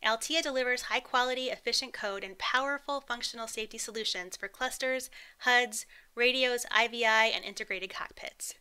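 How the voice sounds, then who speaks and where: A woman reading a scripted promotional voice-over in a polished, upbeat, even delivery. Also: fairly high pitch, normal speed, hardly any pauses, smooth. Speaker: corporate narrator.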